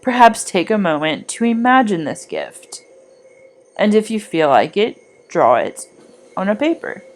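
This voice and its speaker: A woman reading a scripted guided meditation in a soothing, deliberate, gently instructive manner. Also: medium pitch, sing-song. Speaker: meditation guide, art teacher.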